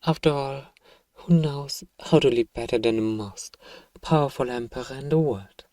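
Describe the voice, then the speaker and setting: A man playing a self-righteous evil emperor, softly and coaxingly telling a bedtime story to a child in an ASMR roleplay. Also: medium pitch, normal speed, dry recording, studio quality, close to an everyday voice. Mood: smug soothing.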